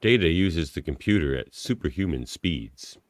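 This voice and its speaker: Low-voiced man reading scene directions. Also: animated, moderate pace, dry recording, studio quality. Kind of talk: scripted narration with joking asides.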